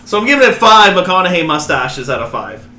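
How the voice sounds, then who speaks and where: A man's voice: high voice, big pitch swings, brisk, bright, forceful tone, echoey room. A man hosting a casual movie review podcast, playful and rambling, often stumbling over his words. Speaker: podcast host.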